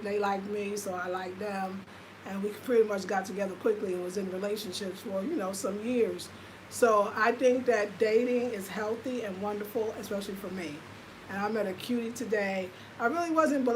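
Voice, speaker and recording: A woman in her fifties with an American accent talks to her viewers in a loose, confiding way, full of "like" and "y'all." Her voice sits fairly high for a woman. A faint noise runs under the recording. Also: rough recording. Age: 50s. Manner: chatty, confiding, lots of fillers. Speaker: lifestyle vlogger. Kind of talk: casual livestream chat.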